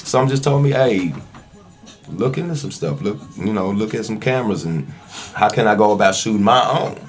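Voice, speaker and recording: Lowish-voiced man in casual interview. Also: lively intonation, normal speed, faint music behind. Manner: conversational, frequent "you know" asides. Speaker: podcast guest, actor and videographer.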